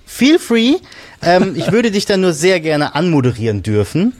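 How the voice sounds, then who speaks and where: A man's voice: high voice, big pitch swings, normal speed, a little mellow. A man hosting a casual podcast conversation, speaking colloquially and candidly with a joking streak. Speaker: podcast host.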